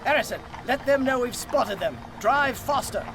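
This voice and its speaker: High-voiced, gallant Victorian doctor played by an actor. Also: male voice, expressive, normal speed, gravelly, full character voice. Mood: urgent, commanding. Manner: theatrical, urgent. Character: devoted, gallant Victorian doctor, newly married, commanding. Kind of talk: radio drama acting. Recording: loud dramatic music bed. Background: music.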